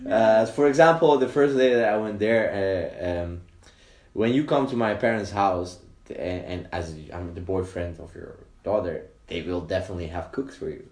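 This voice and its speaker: A man who grew up in the Netherlands, a guest telling stories in casual chat, animated and often laughing. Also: sits low, normal speed, reverberant. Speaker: interview guest. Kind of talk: casual storytelling.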